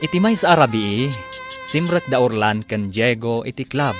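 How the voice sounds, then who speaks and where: A man's voice: mid-range voice, expressive, moderate pace, music in the background. A man with an Ilocano accent announcing and narrating a scripted radio drama.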